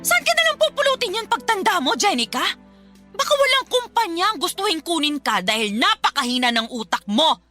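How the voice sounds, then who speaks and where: A woman's voice: very high voice, very animated, fairly quick, pushed and bright, soft background music, full character voice. A woman playing a harsh mother in a Filipino radio drama, berating her teenage daughter with sarcastic, belittling words. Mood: scornful.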